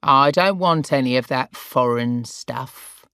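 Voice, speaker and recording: A fussy, forgetful grandmother of eighty, voiced by a woman in an audiobook story. She talks in a slow, demanding, suspicious way. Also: extremely low pitch, fairly bright tone, clear, resonant tone, dry recording, studio quality, full character voice. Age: 80s.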